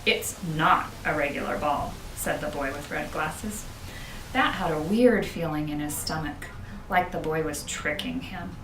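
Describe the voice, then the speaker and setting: A woman reading a children's book aloud with expressive storytelling, as a school principal would to pupils. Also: low-pitched, unhurried. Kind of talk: children's audiobook narration. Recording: roomy, distant, noisy home-style recording.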